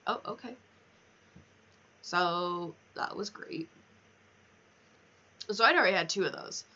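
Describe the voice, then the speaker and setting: A woman's voice: on the low side, slow pace, sharp tone, highs cut off. A young woman chatting casually on a game livestream, candid and rambling, swearing freely as she goes.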